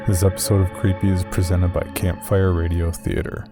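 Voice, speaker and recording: A man's voice: sits low, level tone, brisk, over music. A man reading a scripted sponsor announcement as a podcast announcer.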